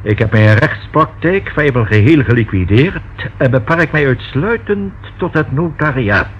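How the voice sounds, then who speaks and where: An actor in a radio play as an old lawyer and notary, formal, polite, precise and wordy. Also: male voice, mid-range voice, animated, measured pace, lightly acted. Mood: courteous, measured. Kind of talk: Dutch radio play acting. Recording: dull lo-fi old radio recording, faint noise.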